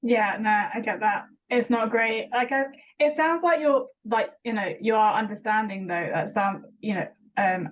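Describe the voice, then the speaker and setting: A young adult woman interviewing a guest in an encouraging tone. She stammers, repeats words and uses many fillers. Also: medium pitch. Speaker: interviewer and regular vlogger. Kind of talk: supportive interview chat. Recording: dull lo-fi recording.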